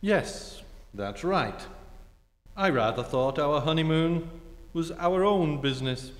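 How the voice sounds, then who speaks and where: An actor playing a cool, sly, gentlemanly ex-husband in a stage re-enactment of an old radio comedy. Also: male voice, a little high, lively intonation, takes it slowly. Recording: echoey reverberant hall.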